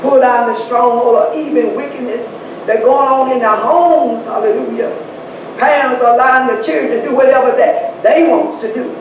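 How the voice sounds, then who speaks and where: A woman leads a fervent prayer and fills the pauses between her lines with hallelujahs, at times swelling into shouts. Her voice comes from a distance in a very echoey hall. Faint room ambience sits behind her. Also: a little high, fairly even intonation, background noise. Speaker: prayer leader.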